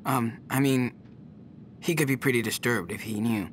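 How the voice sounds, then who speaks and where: A young man playing a caring, protective older teen brother who speaks softly and hesitantly, in a video game cutscene. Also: mid-range voice, moderate pace, a little hiss or hum, natural voice. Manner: soft-spoken, hesitant.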